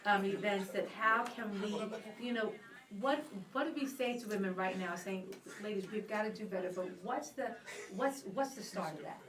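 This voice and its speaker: A chatty woman co-hosts a live radio talk show, winding through long questions and laughing easily. Her voice is middle in pitch. The sound is roomy and she is heard from a distance. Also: normal speed, fairly bright tone.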